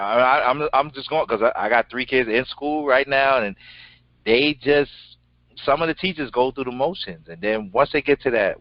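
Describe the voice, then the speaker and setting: A middle-aged man co-hosting an interview, talking bluntly and casually, with a deep voice and some swearing. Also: no echo. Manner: blunt, animated, swears freely. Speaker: co-host. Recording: dull lo-fi sound.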